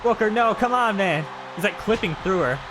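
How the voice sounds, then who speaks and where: A man's voice: high voice, animated, normal speed, music in the background. A man doing casual live commentary on video game footage, talking in an informal way.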